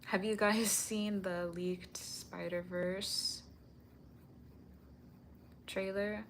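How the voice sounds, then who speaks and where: A young woman livestreaming while she animates, chatting casually and answering viewer comments in a soft, sweet-toned voice. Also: medium pitch, level tone, very slow, a little hiss or hum.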